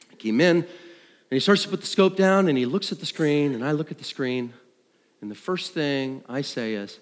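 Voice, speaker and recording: A man tells a personal testimony to a congregation in a conversational, self-deprecating way, acting out dialogue and thoughts like an animated storyteller. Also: medium pitch. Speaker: worship leader. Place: large room, speaking to an audience. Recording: live hall with long reverb.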